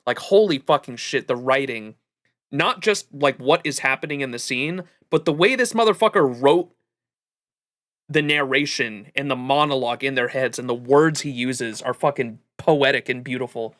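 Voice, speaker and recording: A man's voice: medium pitch, normal speed, fairly bright tone. A man hosting a livestream, giving casual, effusive reaction commentary full of swearing. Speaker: livestream host.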